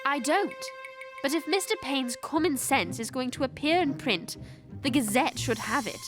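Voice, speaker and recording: A woman voicing an earnest, proper teenage girl, easily indignant, in an animated series. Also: high voice, normal speed. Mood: disapproving. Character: earnest, prim teenage English girl loyal to king. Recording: loud music behind the voice.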